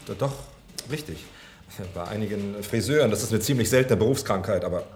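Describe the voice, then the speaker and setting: An actor playing an exacting, methodical medical scientist in a radio drama. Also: male voice, fairly low pitch, fairly quick, darkish tone, natural voice. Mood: pedantic. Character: pedantic, serious male medical scientist. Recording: radio play with faint background noise.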